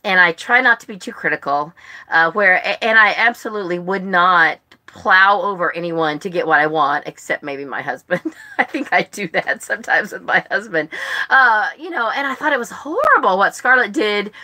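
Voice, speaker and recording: A woman's voice: medium pitch, expressive, moderate pace, bright, forceful tone. A woman chatting casually to livestream viewers as a book club host, rambling and often interrupting herself. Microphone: phone.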